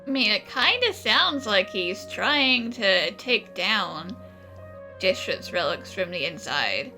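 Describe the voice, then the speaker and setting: A forthright young companion, speaking slowly in a bright, lively voice that moves up and down. Faint game music plays behind her. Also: medium pitch, heavily acted. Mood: musing. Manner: forthright, musing. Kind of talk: game voice acting.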